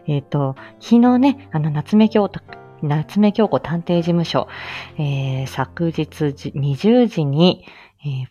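A woman's voice: sits low, dark tone, highs cut off. A woman hosting a casual solo livestream chat, rambling along in a self-effacing, giggly manner. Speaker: livestream host, speech-language therapist.

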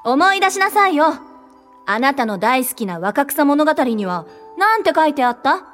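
A woman's voice: sits high, normal speed, soft background music. A woman acting in a Japanese radio drama as a cheeky, impulsive young woman who talks boldly and teasingly. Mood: goading. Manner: bold, teasing, expressive.

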